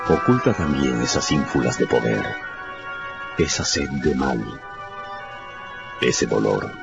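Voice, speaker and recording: A man's voice: very deep voice, creaky. A man giving theatrical, emphatic scripted narration for a radio drama. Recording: muffled, under prominent music. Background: dramatic music.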